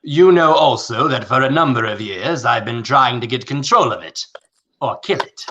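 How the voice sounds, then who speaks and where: A man acting as a rich, condescending, scheming old tycoon in a radio play, with a gravelly, theatrical delivery. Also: medium pitch, expressive, normal speed, bright, forceful tone, lo-fi sound, slight background noise. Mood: smug, persuasive.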